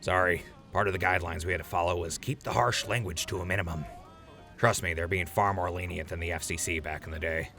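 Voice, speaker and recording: A man's voice: sits low, steady tone, quick pace, pushed and bright. A man playing an easygoing, friendly wasteland radio host in an audio drama, with a mild-mannered delivery. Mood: mildly exasperated. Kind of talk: post-apocalyptic radio drama acting. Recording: music behind the voice.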